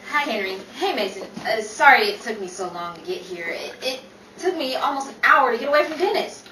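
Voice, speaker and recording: A woman's voice: a little high, expressive, fairly quick, pushed and bright. A woman playing a wry, insistent young female friend in an old-time radio comedy. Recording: echoey, distant, faint noise.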